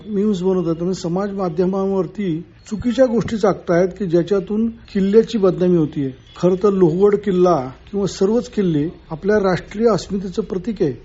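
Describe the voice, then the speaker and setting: A man's voice: high voice, warm tone, slightly rough, highs cut off. A middle-aged or older man with a Maharashtrian accent speaking as a spokesman, making an earnest, emphatic public appeal. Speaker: mountaineering federation president, spokesman.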